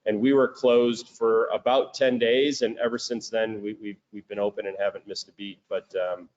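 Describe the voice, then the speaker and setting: A man's voice: fairly low pitch, moderate pace, lo-fi sound. A man with a thick Chicago accent, a business owner talking casually as the guest on a video call, with frequent fillers and restarts. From Chicago, United States. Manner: relaxed, many fillers and restarts. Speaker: guest, crematory and metal recycling business owner.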